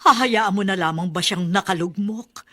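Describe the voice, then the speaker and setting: A woman's voice: mid-range voice, moderate pace, pushed and bright. A woman with a Filipino accent playing a concerned, frank aunt in a radio drama, questioning earnestly and reproachfully. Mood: pleading.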